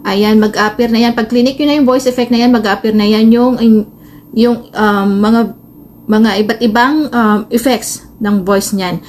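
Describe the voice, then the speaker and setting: Higher-pitched Filipino woman, noisy recording. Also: fairly even intonation, measured pace, a little mellow. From Philippines. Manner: hesitant, informal step-by-step explaining. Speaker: tutorial host, vlogger. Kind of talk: casual spoken phone tutorial. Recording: noisy amateur phone recording.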